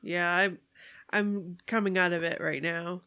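A woman's voice: on the low side, steady tone, unhurried, bright, forceful tone, clear, resonant tone. A woman with a congested, slightly nasal voice co-hosts a casual podcast chat. She is bubbly and giggles easily. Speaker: podcast co-host.

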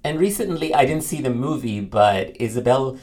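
A man with a mid-range voice talks films in casual conversation. He is animated and opinionated, breaking into laughs as he goes. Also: mid-range voice, normal speed. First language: Arabic. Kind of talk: casual film discussion.

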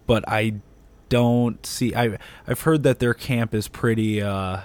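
A man's voice: lowish voice, steady tone, slow pace. A man in his late twenties, teasing and cracking jokes in a casual podcast chat. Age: 20s. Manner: teasing, wisecracking.

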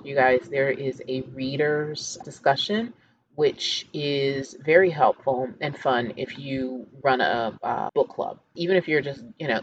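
A woman's voice: rumbling low, muffled recording. A woman podcast host introducing a show in a conversational, appreciative way. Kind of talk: podcast introduction, chatty with some reading from notes.

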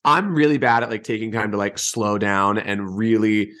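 A man's voice: fairly low pitch, moderate pace, sharp tone. Young guy podcast co-host, easygoing and jokey, self-deprecating, chats loosely. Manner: laid-back, laughing, self-deprecating. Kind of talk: casual chat.

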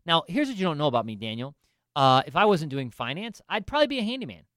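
Animated, smooth-voiced man telling a story. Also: on the high side, quick pace, no room sound, studio quality. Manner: animated, self-deprecating storyteller with frequent restarts. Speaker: finance professional. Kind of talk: casual storytelling chat.

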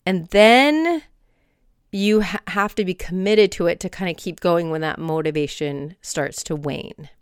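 A woman in her forties hosting her own podcast, chatting in a rambling, friendly way with soft laughs, a little hoarse from a cold. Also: lowish voice, no room sound, studio quality. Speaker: podcast host. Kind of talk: casual solo podcast chat.

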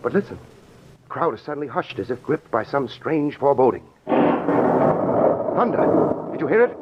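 An actor playing an awestruck reporter in an old-time radio drama, dramatic, halting and full of wonder. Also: male voice, mid-range voice, very animated, natural voice. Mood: reverent, urgent. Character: awestruck male radio reporter transported to ancient Jerusalem. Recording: noisy, dull old radio recording.

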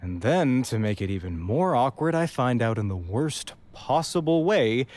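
A man's voice: medium pitch, a little mellow, natural voice. A man playing a wry, awkward young man in an audio drama, speaking conversationally with a sardonic edge. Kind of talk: audio drama acting and first-person narration.

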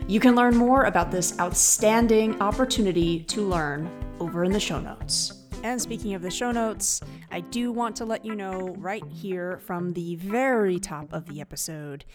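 A woman's voice: mid-range voice, a little slow, over music. A woman hosting an education podcast, reading announcements and introducing her guest in an enthusiastic way. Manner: enthusiastic, upbeat promo reading. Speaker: podcast host.

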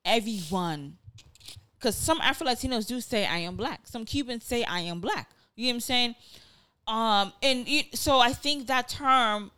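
A woman's voice: on the high side, animated, normal speed, pushed and bright, clear, resonant tone, no echo. A woman in her twenties who grew up partly in Nigeria, chatting casually on a podcast and rambling with lots of fillers and restarts. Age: 20s.

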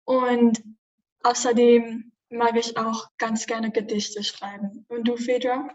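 A woman's voice: fairly high pitch, little pitch movement, slow, darkish tone, distant mic, lo-fi sound. Hesitant teen girl with Spanish accent. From Spain. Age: teens. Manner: hesitant, many fillers. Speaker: student podcaster. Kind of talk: casual chat.

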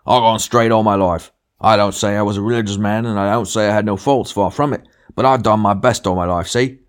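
Man voicing a blustering, indignant working-class ghost, raised pitch. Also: steady tone, a little fast, lightly acted. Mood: blustering, self-righteous. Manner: blustering, argumentative, punctuating with demands. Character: big sulky, indignant, working-class male ghost, self-righteous grumbler. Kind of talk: literary audiobook character dialogue.

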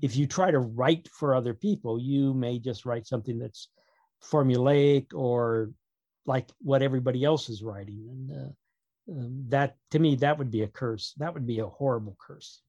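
Man in dry-sounding, halting interview talk. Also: mid-range voice, warm tone, dry recording, lo-fi sound. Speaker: guest author and producer. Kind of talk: casual interview conversation.

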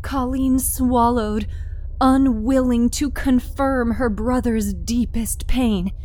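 A woman narrating dramatic horror fiction with deliberate, suspenseful phrasing. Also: a little high, steady tone, mellow.

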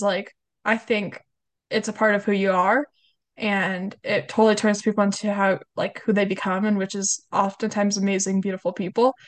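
A young woman hosting a podcast, chatting casually and upbeat, her voice a little off from a cold. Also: medium pitch. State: has a cold. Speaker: podcast host. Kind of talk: casual interview chat.

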